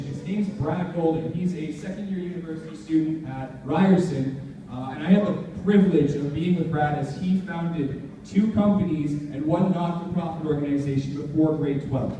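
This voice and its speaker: A young man gives an earnest, emphatic motivational talk to a live audience in informal language. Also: on the high side. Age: young adult. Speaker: motivational speaker at a student leadership conference. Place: large indoor conference hall. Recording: echoey noisy hall, far from mic.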